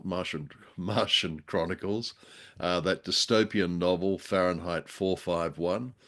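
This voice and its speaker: A man with a fairly low voice speaks as the co-host of a radio show. He chats in a casual discussion style, often halting as he reaches for words. His phrasing is wordy and bookish. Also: fairly bright tone.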